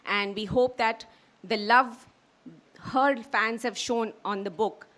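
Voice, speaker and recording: A woman's voice: on the high side, fairly even intonation, slow, fairly bright tone. A woman with an Indian accent giving a public speech as she presents a launch.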